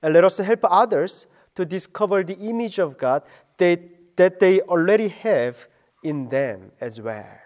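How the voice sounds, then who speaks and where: A middle-aged man, a pastor giving an earnest, slow radio devotion sermon. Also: sits high, expressive, a little mellow.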